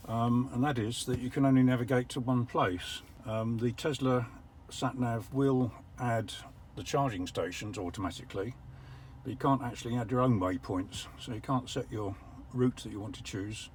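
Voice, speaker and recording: An older English man explaining how an electric car works from the driver's seat, measured and a little wry. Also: medium pitch, slight background noise. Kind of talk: casual vlog commentary. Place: parked car.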